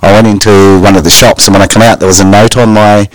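Male panelist, relaxed storytelling, dry studio sound. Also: on the low side, fast talker, no room sound. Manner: easygoing anecdote teller. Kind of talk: casual radio chat.

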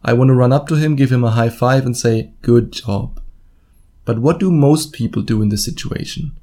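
Male solo podcaster, German accent, soft mellow timbre, casual and blunt coaching monologue. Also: mid-range voice, normal speed. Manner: informal, blunt, swears casually, acts out imagined quotes. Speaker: podcast host and dating coach.

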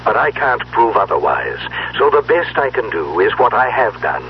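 A man playing a theatrical magician patriarch in a 1970s radio drama, speaking in grandiose, deliberate, formal phrases. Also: big pitch swings, vocal fry. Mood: solemn, tender. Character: dead magician patriarch, theatrical, speaking through a recorded will. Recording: dull noisy old radio recording, low hum.

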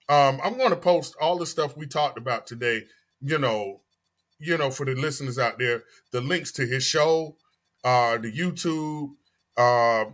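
Bright-voiced man hosting a podcast. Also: medium pitch. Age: 40s. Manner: animated, emphatic, repeats phrases. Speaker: podcast host. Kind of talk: casual chat.

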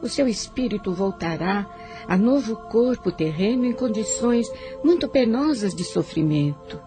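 A narrator of a radio serial speaking in a grave, measured, preachy way. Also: mid-range voice, animated. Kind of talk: solemn scripted narration. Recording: music behind the voice. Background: music.

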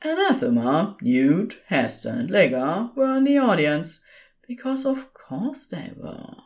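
A woman narrating scripted audio fiction as a storyteller, with an expressive, measured delivery. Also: lowish voice.

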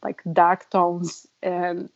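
A middle-aged woman from Bulgaria giving reflective advice in a conversation, laughing softly between points. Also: fairly low pitch, monotone, drawn-out words, dry recording, highs cut off. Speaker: guest adviser.

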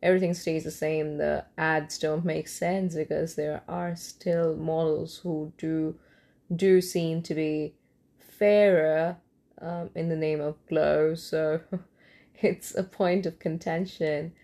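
A young woman from Kolkata, nursing a cold, hosts her own podcast in a loose, rambling late-night monologue. Her voice sits low and carries a gravelly fry. Her tone is dark and mellow, and she often pauses on ums and restarts her sentences. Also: level tone. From India, Kolkata. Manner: rambling with frequent ums and restarts, light laughs. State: has a cold. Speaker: podcast host.

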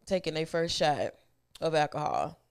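A woman's voice: low-pitched, big pitch swings, moderate pace, vocal fry, clean tone. An older woman co-hosting a podcast, chatting casually, blunt and dry in her teasing. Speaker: podcast co-host. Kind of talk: casual chat.

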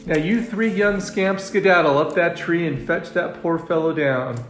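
A man's voice: on the high side, moderate pace, roomy sound, faint music behind, close to an everyday voice. A man voicing a feisty, folksy farm wife bear from a storybook, stern but kindly. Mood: scolding. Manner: folksy, scolding.

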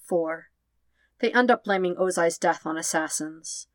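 A woman reading fan fiction aloud as scripted narration, in measured, dramatic short phrases. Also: on the low side. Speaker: podfic narrator.

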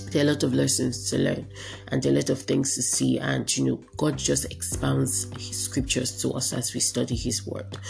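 A woman hosting a radio teaching broadcast, her voice raspy and hoarse from a cold, speaking earnestly and encouragingly. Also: extremely low pitch, a little mellow. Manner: earnest, encouraging, repeats herself for emphasis. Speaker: radio preacher and host.

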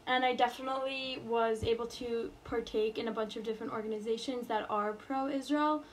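A young college-age woman with a fairly high voice talks in a relaxed, halting way, full of "um" and "I guess" between her thoughts. A faint hiss of noise sits behind her. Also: poor audio. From New York. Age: college student. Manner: hesitant, many fillers and restarts. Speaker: interviewee. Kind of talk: casual interview.

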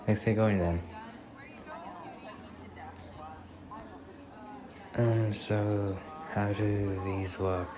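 A young man playing a shy, sweet student boyfriend on a first date in a soft-spoken ASMR roleplay, speaking in a hesitant, bashful way. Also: deep voice, background noise. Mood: casual, slightly nervous.

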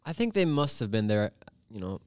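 A man's voice: on the low side, sing-song, a little fast, darkish tone, smooth, dry recording. A man in his twenties, from Bolivia, a podcast guest telling his story in an easygoing way, full of "you know" and "like". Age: 20s. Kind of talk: casual interview chat.

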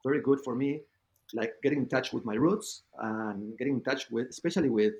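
A man from Argentina, a guest DJ talking in an animated, filler-heavy way in a casual interview. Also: medium pitch, fairly quick. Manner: animated storyteller, many fillers like "like".

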